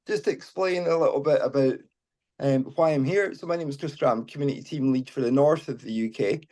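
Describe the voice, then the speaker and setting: A man's voice: medium pitch, fairly quick, a little mellow. Scottish man, unscripted meeting talk. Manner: informal, with many fillers and restarts. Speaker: charity community team lead. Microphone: mobile phone.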